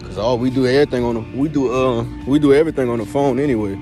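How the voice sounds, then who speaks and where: A man's voice: mid-range voice, racing, music in the background. A teenage boy vlogging on his way to class and joking through interviews, talking loose and slangy and laughing often. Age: teens. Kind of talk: casual vlog chat and joking interviews. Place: school hallways and grounds.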